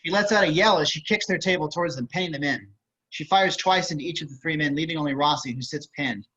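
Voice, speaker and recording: A man's voice: a little high, quick pace, sharp tone, slightly rough. A man reading screenplay action as scripted narration over a video call. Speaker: stage directions reader. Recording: slightly muffled video-call audio.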